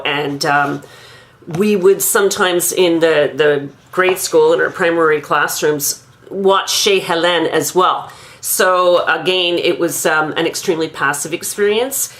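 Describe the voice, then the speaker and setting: A woman in her fifties from Quebec, thoughtfully recounting her own school memories in a measured, pausing monologue. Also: fairly low pitch, a bit bright. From Quebec, Canada. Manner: measured, thoughtful, with frequent pauses. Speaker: grandmother recounting her language learning. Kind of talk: reflective personal monologue.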